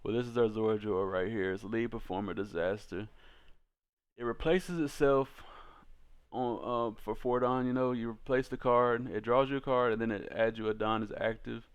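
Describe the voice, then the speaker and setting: Man, smooth clear voice, mid-pitched, casual slangy delivery, card game video host. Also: fairly even intonation, normal speed, lo-fi sound. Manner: informal, slangy, addressing viewers as crew. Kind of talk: casual game commentary and deck walkthrough.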